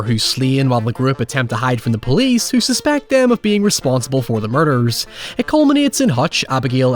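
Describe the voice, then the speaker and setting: A man narrating a scripted video essay as its host, in a conversational, wry and sardonic way. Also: on the high side. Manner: wry, sardonic, with rhetorical questions and mild swearing. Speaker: host of a film retrospective show.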